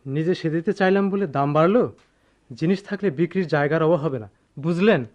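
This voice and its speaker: Man playing a fast, pushy high-voiced seller. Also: darkish tone, close to an everyday voice. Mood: insistent. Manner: insistent, hurried. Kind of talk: Bengali radio drama acting. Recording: dull lo-fi radio drama sound.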